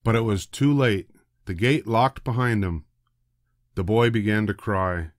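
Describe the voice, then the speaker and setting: An older man narrating a children's storybook in a deliberate, expressive way. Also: fairly low pitch, dry recording, clean studio sound. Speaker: storybook reader.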